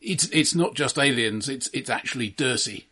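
A man co-hosting a podcast, discussing films casually in a measured, analytical and somewhat hesitant way. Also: mid-range voice, sharp tone, slightly rough. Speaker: podcast co-host.

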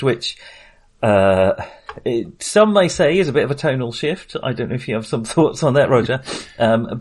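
A man's voice: medium pitch, a little mellow, husky. Male podcast host, middle-aged, for informal movie discussion with a joking, self-mocking manner. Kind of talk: casual film discussion.

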